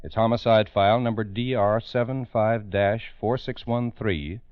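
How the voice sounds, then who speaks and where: Low, dramatic American male radio narrator. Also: moderate pace, dry recording. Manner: solemn, emphatic, theatrical. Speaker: narrator and host. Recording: muffled old radio recording.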